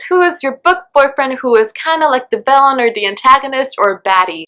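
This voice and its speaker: A woman's voice: a little high, bright, forceful tone. A young woman hosting a casual, chatty book vlog, talking in a gushing, dramatic and self-mocking fangirl way. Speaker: book vlogger and host.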